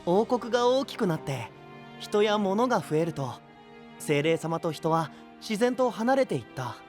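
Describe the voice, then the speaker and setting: A friendly, impulsive young knight with a high, boyish voice and very lively intonation. The casual, expressive audio drama delivery sits over soft music. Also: male voice, measured pace. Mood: storytelling, earnest. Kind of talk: Japanese anime-style voice drama. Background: background music.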